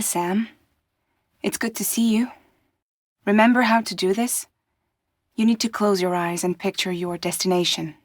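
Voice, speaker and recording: Wry tough courier lady from a video game, soft husky voice, really dry close sound. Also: lowish voice, no room sound. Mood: gentle, guiding. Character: guarded, wry, resilient woman courier in post-apocalyptic world. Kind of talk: cinematic video game acting.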